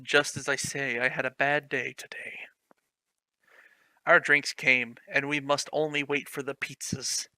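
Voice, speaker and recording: A man's voice: mid-range voice, level tone, measured pace, sharp tone, no echo, low-quality recording. A man reading a clumsy fan story aloud, mocking it with sarcastic, swearing commentary. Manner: sarcastic, swears, laughs at the text.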